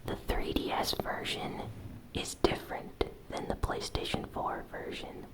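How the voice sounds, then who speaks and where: A woman narrates news in ASMR style, entirely in a breathy, unvoiced whisper. Her pace is slow and deliberate. She sets out each item softly, in short separate phrases, as the host of a show. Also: poor audio.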